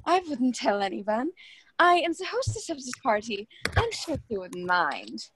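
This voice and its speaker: Young woman playing a high-voiced, haughty society hostess. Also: very animated, measured pace, noisy background. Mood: imperious. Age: young adult. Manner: condescending, taunting. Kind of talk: radio play acting.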